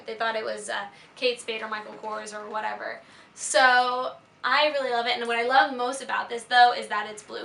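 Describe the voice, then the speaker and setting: Bright, high-voiced young American woman vlogger. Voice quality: slightly raspy. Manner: gushing, informal, emphatic. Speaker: lifestyle vlogger. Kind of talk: casual chatty product favorites video. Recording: roomy echo, faint background noise.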